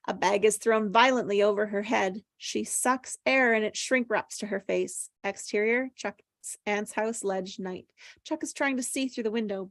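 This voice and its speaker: A woman narrates screenplay directions for a table read. Her voice sits at an average pitch, and the sound is dry with little room to it. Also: no echo.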